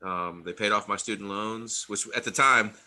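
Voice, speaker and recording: Bright-voiced middle-aged man, rambling. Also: fairly low pitch, moderate pace. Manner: rambling, many restarts, laughs between thoughts. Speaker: interview guest, Army veteran. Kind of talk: casual conversational interview.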